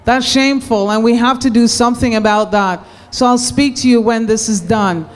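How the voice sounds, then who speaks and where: A woman candidate answering at a public debate, sympathetic and earnest, with some halting restarts. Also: on the high side, big-room sound. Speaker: political candidate. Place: public meeting hall.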